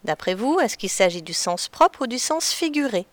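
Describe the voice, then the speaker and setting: A woman teacher patiently explaining to children in a clear, deliberate way. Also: a little high, sing-song, no room sound. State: slightly stuffy from a cold. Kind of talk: children's lesson on figurative expressions.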